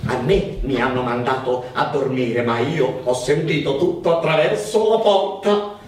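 A man's voice: mid-range voice, a little slow, close to an everyday voice. A man acting an anxious, gossiping Sicilian village housewife, confiding and folksy, in theatrical radio drama dialogue. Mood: worried gossiping. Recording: echoey, distant, faint noise.

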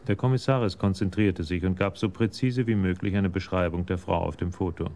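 A man giving sober, measured narration of a scripted crime story for a radio drama. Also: low-pitched, steady tone, dark tone, a little hiss or hum.

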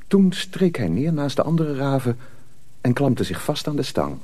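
A dry, close recording of a male narrator. His voice is husky and highly animated in pitch. He reads a scripted dramatic story. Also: moderate pace, dry recording.

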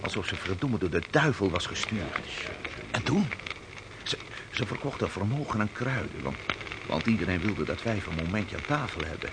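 Over clear background noise, a rough sailor in a radio play. He tells his eerie story haltingly, with stammers, and his pitch swings widely between hush and force. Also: expressive. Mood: hushed, awed. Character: rough sailor telling an eerie witch tale, growing dizzy. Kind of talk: Dutch radio drama acting. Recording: noisy radio drama scene.

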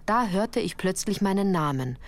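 An actress playing a sensitive woman recalling her youth, narrating a literary radio drama in a measured, reflective way. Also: medium pitch, animated, slight background noise, natural voice. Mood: reflective, uneasy.

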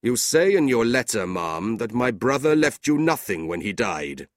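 A man's voice: medium pitch, sing-song, no room sound, clean studio sound, lightly acted. A man voicing a cold, scornful older businessman uncle with a curt, sneering delivery in a storybook reading. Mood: contemptuous. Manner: curt, sneering, drawn-out emphatic words.

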